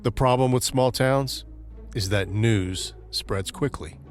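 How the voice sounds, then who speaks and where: A man narrating a scripted crime-horror audio drama in a measured, deliberate storytelling manner. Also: medium pitch, soft background music.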